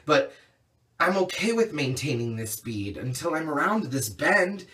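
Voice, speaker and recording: A man's voice: a little high, bright, forceful tone, far from the mic. A man performing rhyming slam poetry in an earnest, rhythmic, emphatic way. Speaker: slam poet.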